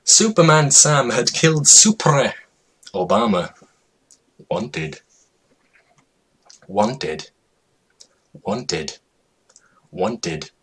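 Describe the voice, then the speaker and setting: A man giving a mock-dramatic reading of a comedic story in an over-the-top, deliberately absurd way, drawing out words. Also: medium pitch, animated, a bit bright, husky.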